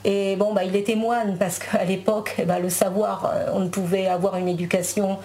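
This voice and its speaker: A woman's voice: medium pitch, little pitch movement, a little fast, warm tone. A woman whose first language is Spanish, a guest in a casual interview chat, talking with many fillers and restarts.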